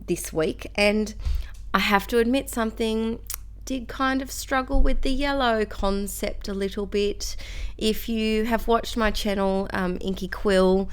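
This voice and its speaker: A woman's voice: medium pitch, clear, resonant tone. A young Australian woman chatting casually through a craft video, rambling and self-deprecating. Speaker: crafter and school teacher.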